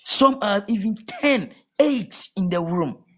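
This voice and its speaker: A man from Ghana with a Ghanaian accent, talking as a guest in a casual call-in interview, halting and repeating his words. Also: sits high, animated, breathy edge. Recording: muffled lo-fi call audio.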